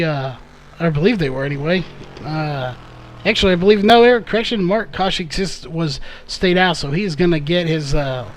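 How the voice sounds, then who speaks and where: A man's voice: fairly high pitch, slight background noise. A man doing live play-by-play race commentary, full of fillers and self-corrections, his voice a little worn. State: slightly losing his voice.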